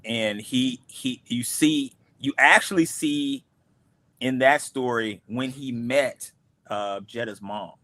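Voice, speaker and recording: Series creator, male, casual panel talk, halting, mid pitch. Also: pushed and bright, no echo. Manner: halting, repeats words as he gathers thoughts. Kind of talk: casual livestream chat.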